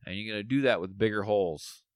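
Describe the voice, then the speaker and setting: A man co-hosting a casual podcast, tired, with a voice going a bit raspy, rambling with lots of fillers. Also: on the low side, fast, dry recording, clean studio sound. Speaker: podcast co-host.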